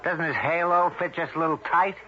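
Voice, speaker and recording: A sardonic American newspaperman in vintage radio drama. His voice is very bright and forceful, fairly high for a man, and it comes through a dull old broadcast. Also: fairly quick. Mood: skeptical, wry. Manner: wry, sardonic, blunt. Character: cynical, gruff but bantering male newspaper city editor. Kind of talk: 1970s radio drama acting.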